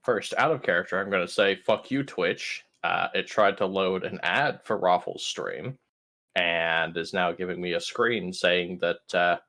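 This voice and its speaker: A man's voice: low-pitched, measured pace, sharp tone. A man chatting casually as a player in a tabletop game, informal and blunt. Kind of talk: casual chat and recapping.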